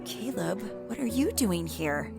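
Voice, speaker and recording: A woman's voice: mid-range voice, sing-song, measured pace, gravelly. A woman playing a guarded, sarcastic young woman in a naturalistic audio drama, speaking dryly and a little hesitantly. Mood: guarded, awkward. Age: young adult. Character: wry, guarded young woman grieving her uncle. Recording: music bed behind the voice.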